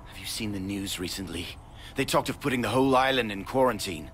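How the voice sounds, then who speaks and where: An actor playing a troubled, remorseful scientist in a video game, somber and subdued. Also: male voice, on the low side, expressive, fairly quick, a bit bright, slight background noise. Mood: worried. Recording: music under the voice.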